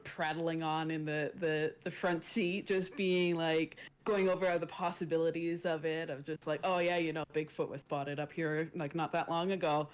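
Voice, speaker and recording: A woman chatting casually as a tabletop roleplaying player, playfully improvising in the third person. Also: low voice.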